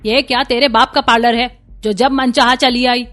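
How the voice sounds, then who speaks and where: A woman's voice: fairly high pitch, fairly even intonation, moderate pace, a bit bright, natural voice. A woman voicing a rude, bullying beauty parlour boss in a cartoon story, scornful and bossy. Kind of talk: Hindi cartoon story voice acting.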